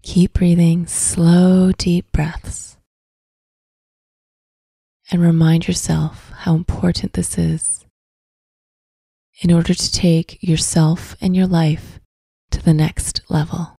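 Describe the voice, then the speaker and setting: A woman narrating a scripted guided visualization in a soft, breathy, soothing voice, with deliberate phrasing and long pauses. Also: on the low side, little pitch movement, no room sound. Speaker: guided meditation host.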